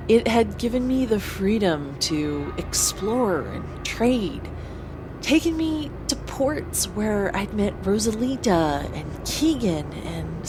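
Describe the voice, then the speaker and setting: A woman's voice: mid-range voice, low-quality recording. A woman playing an earnest young space pilot who narrates her own story in an audio drama. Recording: clear vehicle ambience behind voice.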